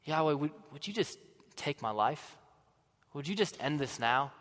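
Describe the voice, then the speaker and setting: A man playing a weary, passionate older prophet in a dramatic monologue to a congregation, earnest and swinging from fervent outbursts to hushed sadness. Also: a little high, expressive, moderate pace. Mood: despairing. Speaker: dramatic first-person storyteller. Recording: long hall reverb.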